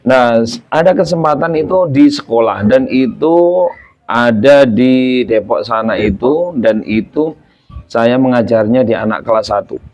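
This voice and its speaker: Man, 30s, storyteller guest, middle pitch, chatty, filler-heavy, addresses listeners directly. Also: lively intonation, measured pace, darkish tone, muffled recording. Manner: speaks to the audience as "friends". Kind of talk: casual interview chat.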